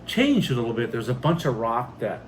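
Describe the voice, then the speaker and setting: A man's voice: medium pitch, brisk. A middle-aged man chatting casually as a homestead vlog co-host, recounting things plainly in short phrases. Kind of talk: casual chat. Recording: clear steady background noise, like ventilation.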